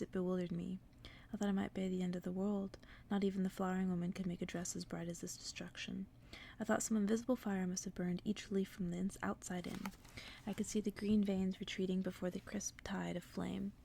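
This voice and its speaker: A woman reading a story aloud in a soft, breathy, unhurried ASMR whisper, as if to send the listener to sleep. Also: mid-range voice, a little hiss or hum.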